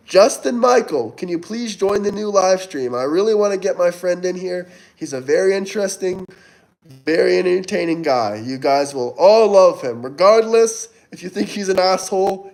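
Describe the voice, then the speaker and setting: A man in his twenties from Florida, hosting a casual livestream and answering viewer chat as he reads it out. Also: sits high. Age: 20s. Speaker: livestream host.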